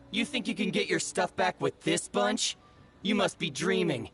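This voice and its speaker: An actor playing a contemptuous thief in a video game, mocking and taunting. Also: male voice, sits high, expressive, measured pace, music in the background. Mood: mocking, hostile. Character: sneering, contemptuous male thief.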